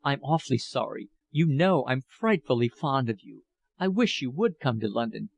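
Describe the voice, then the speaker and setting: A man's voice: mid-range voice, lively intonation, moderate pace, creaky. A man reading a novel aloud, voicing an awkward, sulky young man of twenty who apologizes politely.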